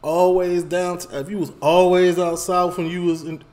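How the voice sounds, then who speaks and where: A man talks a bit high for a man, working his thoughts out loud as he goes. He circles back, repeats phrases and gets animated. It sounds like a small room at home on a desk mic. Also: moderate pace. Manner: animated, rambling, repeats phrases, gestures while talking. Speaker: reaction video host. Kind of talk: casual commentary.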